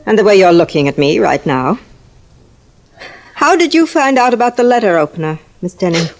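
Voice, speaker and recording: An actress playing a secretive, suspicious nurse in an old-time radio thriller, speaking in an intense, pointed, conspiratorial way. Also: female voice, medium pitch, big pitch swings, moderate pace. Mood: accusing.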